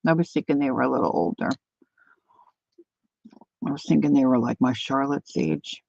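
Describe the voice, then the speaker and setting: An older woman chatting casually to viewers while she crafts, thinking out loud as she works. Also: a bass voice, animated, moderate pace, vocal fry. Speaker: craft livestreamer. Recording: dull lo-fi dry sound.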